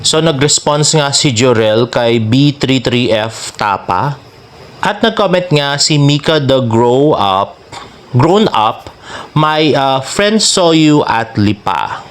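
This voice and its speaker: Animated Filipino man, chatty gossip vlogger. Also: mid-range voice, slow, clean tone. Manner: chatty, teasing, jokey. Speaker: celebrity fan vlogger and host. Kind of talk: casual showbiz gossip commentary in Taglish.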